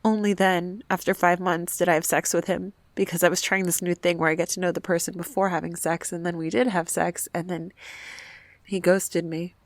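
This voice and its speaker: Audio drama role: young woman, played by an actress, lowish pitch, embarrassed, hesitant, confessional and vulnerable. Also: flat delivery, normal speed, no echo, lightly acted. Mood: hurt, embarrassed.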